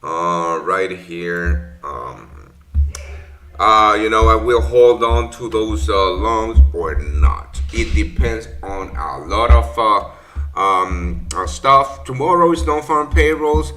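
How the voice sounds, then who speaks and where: Bright-voiced man. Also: lowish voice, takes it slowly, soft background music. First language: Spanish. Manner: rambling, many fillers and self-corrections. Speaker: trading session host. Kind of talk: casual live-stream market commentary.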